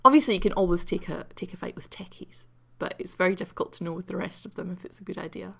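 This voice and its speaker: A woman talks through a video game replay in a loose, rambling way, full of ums and jokes at her own expense. Her low voice is croaky from sleep, with a gravelly fry and a bright, sharp edge. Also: fairly even intonation, quick pace. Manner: rambling and self-mocking, with many ums. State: croaky from just waking up. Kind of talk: casual replay commentary.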